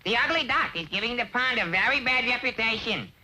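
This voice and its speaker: A woman voicing a brisk, streetwise pond duck in an old cartoon, speaking in slang. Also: a little high, expressive, pushed and bright. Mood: matter-of-fact. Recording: noisy old TV cartoon soundtrack.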